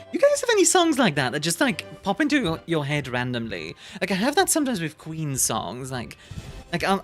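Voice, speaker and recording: Lively giggly woman, British-leaning English. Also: sits low. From Belgium. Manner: bubbly, giggly, chatty with frequent fillers. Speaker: streamer. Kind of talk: casual chat reading live chat.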